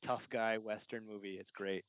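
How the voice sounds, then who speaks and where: A man's voice: fairly low pitch, level tone, moderate pace, clear, resonant tone, no echo. A man hosting a casual film discussion in a jokey way, prone to laughing. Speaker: podcast host. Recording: tinny, dry, band-limited call audio.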